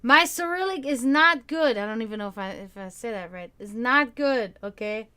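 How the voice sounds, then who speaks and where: Tired serbian-accent woman chatting w her stream, smooth highish voice, keeps restarting sentences. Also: lively intonation, sharp tone. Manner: rambling, halting, with many restarts and fillers. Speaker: livestream host. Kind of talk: casual chat with stream viewers.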